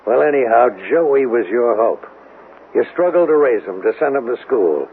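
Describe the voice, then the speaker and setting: An actor playing a skeptical, world-weary American police lieutenant in a 1970s radio drama, probing in a matter-of-fact way. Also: male voice, mid-range voice, lively intonation, normal speed, natural voice. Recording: narrow, hissy old radio recording.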